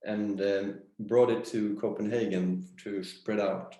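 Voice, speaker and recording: A man's voice: lowish voice, steady tone, slow pace, dark tone, a little hiss or hum. A man speaking casually as a guest in an interview, recorded with a roomy echo. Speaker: interview guest, company founder. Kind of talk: casual conversation. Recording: roomy, echoing sound.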